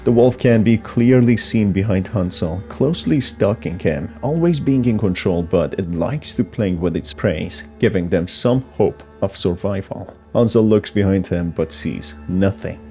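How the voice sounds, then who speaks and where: A man's voice: sits low, measured pace, few pauses, warm tone, faint music behind. A man giving scripted narration that explains a horror story.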